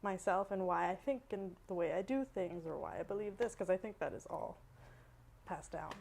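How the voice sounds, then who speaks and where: A woman with a Canadian accent talking casually as an interview guest, in an animated, emphatic and slangy way. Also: fairly low pitch, moderate pace, vocal fry, a little hiss or hum. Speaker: guest, dancer and artist.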